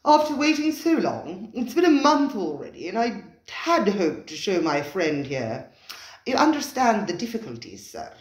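Storybook gentleman gourmet, voiced by a narrator: earnest, effusive, formal, lively intonation, roomy sound. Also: medium pitch, moderate pace, natural voice. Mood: disappointed, pleading. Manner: formal, persuasive, effusive speech. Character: eager, solemn male gourmet devoted to a restaurant.

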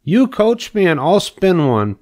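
Man voicing an excitable, high-pitched young storybook pig. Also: measured pace. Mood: eager, excited. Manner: excitable, wide-eyed, earnest. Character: eager, boastful, naive young male pig. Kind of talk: storybook character reading.

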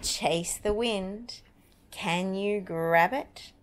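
A woman reading a picture book aloud to young children with dramatic, drawn-out, expressive delivery. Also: medium pitch.

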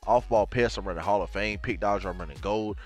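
A man's voice: fairly low pitch, fast talker, darkish tone, soft background music. Stuffy-nosed young man, laid-back gamer talk. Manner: laid-back, addresses viewers as y'all, fillers like man and bro. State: stuffy from a cold. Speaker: gaming YouTuber. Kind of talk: casual game walkthrough. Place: home gaming setup, gaming chair.

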